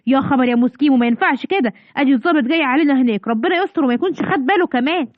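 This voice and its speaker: Woman doing a bickering Egyptian cartoon mom, very high and quick. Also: no echo, phone-quality sound, lightly acted. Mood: fussing. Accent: Egyptian Arabic. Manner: boastful, argumentative. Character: proud bickering cartoon mother.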